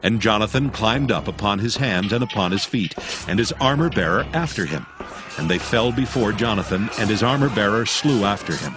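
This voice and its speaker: A man narrating a dramatized Bible in a solemn, measured, scripted style. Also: fairly low pitch, expressive. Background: music.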